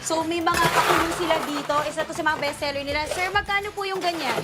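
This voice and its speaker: Female field host, very high-pitched, enthusiastic and chatty, music underneath. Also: steady tone, fast. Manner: bubbly, enthusiastic. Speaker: morning show field host. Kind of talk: casual live TV food segment chat.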